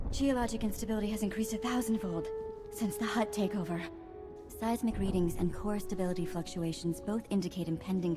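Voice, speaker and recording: A woman's voice: mid-range voice, close to an everyday voice. A woman voicing an outspoken, frazzled scientist in danger in a video game, blunt and urgent. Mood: urgent, grave. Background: game music.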